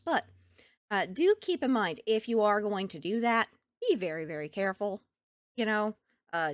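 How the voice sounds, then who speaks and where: Lively, animated woman streamer. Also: medium pitch, no echo, studio quality. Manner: animated storytelling, laughs often. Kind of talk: casual chat with live chat. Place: home streaming setup.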